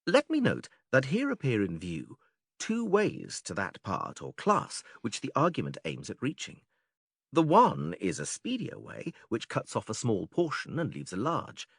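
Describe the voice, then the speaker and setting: A man playing a patient, methodical philosopher who leads an argument in a dramatised classical dialogue, measured, formal and questioning. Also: mid-range voice, huge pitch swings, a bit bright, breathy edge, no room sound, studio quality, exaggerated voice. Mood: instructive. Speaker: audiobook actor reading philosophical dialogue.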